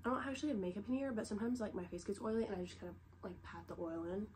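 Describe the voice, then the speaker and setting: A woman's voice: medium pitch, racing, a little mellow. A young woman with a stuffy head cold, chatting and rambling casually in her bedroom with lots of "like" fillers. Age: young adult. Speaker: vlogger. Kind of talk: casual show-and-tell chat. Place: bedroom, sitting on the bed. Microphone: camera set low in front of her. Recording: noisy home recording.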